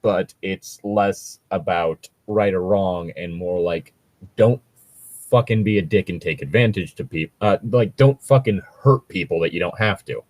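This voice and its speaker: A man with a low voice talks casually through his opinions, stopping and restarting as he goes. The sound is dry and close, and he peppers his talk with fillers and the odd swear word. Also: unhurried, darkish tone, no echo. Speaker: podcast host reacting to read-aloud Reddit posts. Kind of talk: casual chat and commentary.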